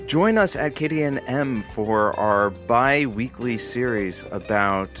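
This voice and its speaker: A man playing a radio announcer and sidekick with a showy promotional delivery in an audio comedy. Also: lowish voice. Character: male radio station announcer and producer sidekick. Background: music.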